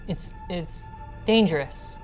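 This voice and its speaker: Frightened young girl, played by a woman, halting and guarded, tabletop game, music behind. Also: on the low side, animated, darkish tone. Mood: frightened, wary. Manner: hesitant, halting. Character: frightened, guarded little girl of six or seven.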